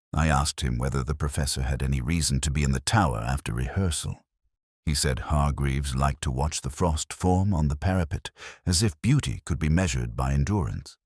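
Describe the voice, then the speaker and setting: A man reads a scripted first-person detective story in a measured, literary way with deliberate pauses. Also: rumbling low, no echo, clean studio sound. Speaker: narrator.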